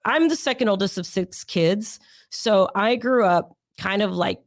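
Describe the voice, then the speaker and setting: A young adult woman, hoarse and raspy from sinus pressure, chatting as an interview guest in a rambling way with many fillers. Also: medium pitch, measured pace, dry recording, clean studio sound. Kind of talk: casual interview storytelling.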